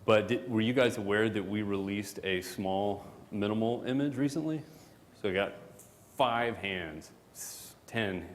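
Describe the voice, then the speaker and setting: Low-voiced guy joking through a conference talk, lots of ums, echoey hall. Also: unhurried. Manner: relaxed and joking, with many fillers. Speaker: product manager presenting at a conference. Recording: hall reverb, faint background noise.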